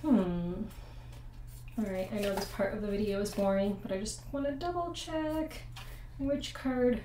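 A young woman talks through a card game at her table at home, at an ordinary pitch, explaining each step and stopping to check rules. The sound is roomy and a little distant from the mic, with a faint hum behind her. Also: measured pace, dark tone. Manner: chatty and explanatory, often second-guessing the rules. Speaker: board game channel host. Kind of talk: casual board game playthrough. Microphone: desk USB mic with room echo. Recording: echoey room, mic set far off.

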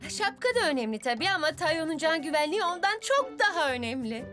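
A woman's voice: high-pitched, very animated, quick pace, fairly bright tone. A woman voicing a cheerful, kind-hearted young female mechanic in a children's cartoon dub, with music behind. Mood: friendly.

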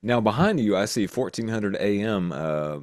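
A man's voice: fairly low pitch, animated, moderate pace, no room sound. A middle-aged man asking casual interview questions as a podcast host, close on the mic. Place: small home office, indoors. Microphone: close boom-arm podcast mic.

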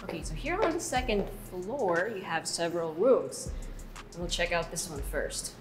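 A woman's voice: lowish voice, expressive, normal speed, music in the background. Chatty woman giving a house tour. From Philippines. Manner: upbeat, chatty, laughs easily. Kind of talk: walking real-estate tour narration. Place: large house interior, rooms and balconies.

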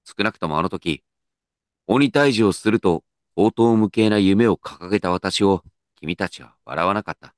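An actor playing an earnest, dignified company president in a comedic radio drama, with pompous, measured executive speech. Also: male voice, low-pitched, no echo, studio quality, close to an everyday voice. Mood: earnest, supportive.

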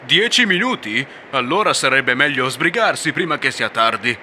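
A man's voice: medium pitch, animated, moderate pace. A man voicing a bossy male bear animatronic who leads the show and gives orders, in a noisy recording. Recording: loud background noise.

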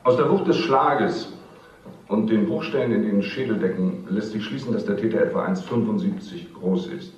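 A man's voice: on the low side, normal speed, distant mic, close to an everyday voice. Guy playing matter-of-fact detective in a radio play, dull echoey sound, dry delivery. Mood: dry, factual. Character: matter-of-fact police investigator. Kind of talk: radio crime drama acting.

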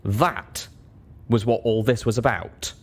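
A man's voice: on the low side, steady tone, takes it slowly, husky. A man narrating fiction expressively as an audiobook reader.

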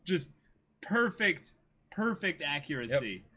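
A man's voice: a little high, very animated, slow, bright, forceful tone, breathy edge. A young man co-hosting a stream, chatting casually and reading things out. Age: young adult. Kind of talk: casual chat, reading out viewer messages. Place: small indoor streaming setup.

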